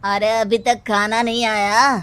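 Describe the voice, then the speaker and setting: A woman's voice: on the high side, little pitch movement, unhurried, fairly bright tone. A woman voicing a grumpy, demanding husband. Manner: gruff and complaining.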